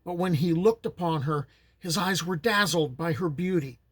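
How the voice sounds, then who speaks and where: Middle-aged american guy reading old folk tales, kinda high voice, super dry close mic, easygoing pace. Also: fairly bright tone, no echo. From United States. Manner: plain, unhurried storytelling voice with small restarts. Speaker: storyteller and channel host. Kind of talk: folktale narration.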